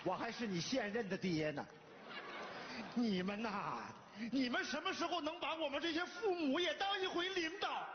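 Fed-up bossy dad, northern chinese mandarin accent, wisecracks, voice swoops all over, echoey hall. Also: male voice, very high-pitched, expressive, moderate pace, crowd noise. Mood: exasperated. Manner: scolding, dramatic, blunt. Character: exasperated, bossy, wisecracking middle-aged father. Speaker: comedy sketch actor. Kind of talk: theatrical comic sketch acting. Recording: echoey hall, dull sound, faint noise.

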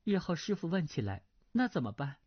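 A man's voice: on the high side, big pitch swings, brisk, slightly rough, pitched above the performer's own voice. A man voicing a naive, sheltered young woman who asks blunt, artless questions, as he reads a martial arts novel aloud. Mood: innocent curious. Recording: slightly dull lo-fi recording.

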